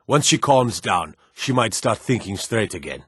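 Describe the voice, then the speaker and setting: An actor playing a stern, dutiful fantasy guard and soldier in a video game, formal and commanding, with measured delivery. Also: male voice, on the low side, sing-song, fairly bright tone, husky, no room sound, studio quality, heavily acted. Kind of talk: scripted fantasy game dialogue.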